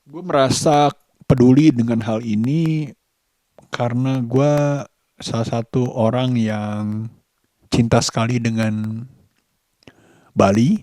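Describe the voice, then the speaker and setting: A man speaks at a slow pace in a casual vlog monologue. His delivery is halting and thoughtful, with gaps in the middle of sentences. His pitch is mid-range and the sound is dry, with very little room. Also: a little mellow, no echo. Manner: halting, reflective, with long pauses mid-sentence. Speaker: vlogger.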